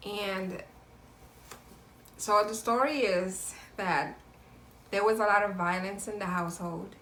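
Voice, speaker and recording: A woman in her late thirties from New York speaks softly and casually, with a bright tone. The room adds a clear echo around her voice. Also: medium pitch, steady tone, slow pace. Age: 30s. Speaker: guest sharing her story. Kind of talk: casual chat.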